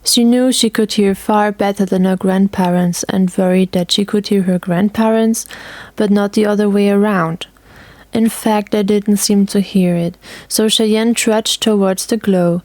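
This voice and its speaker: A woman's voice: medium pitch, steady tone, unhurried, darkish tone, no echo. Woman narrating a story, very dry sound.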